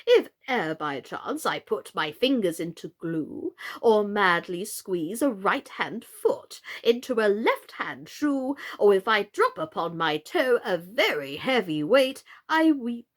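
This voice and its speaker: A woman acts out an eccentric old knight from a children's storybook. The delivery is halting and theatrical, gentle yet earnest. Pitch swoops widely and the pace is slow. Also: big pitch swings. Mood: wistful, singsong.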